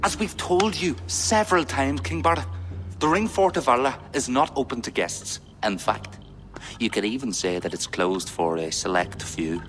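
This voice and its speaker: A man's voice: medium pitch, lively intonation, moderate pace, a bit bright, close to an everyday voice. An actor playing a polished, scheming middle-aged king in a video game, diplomatic and evasive in his speech. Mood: firm. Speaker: game character. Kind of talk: video game cutscene acting.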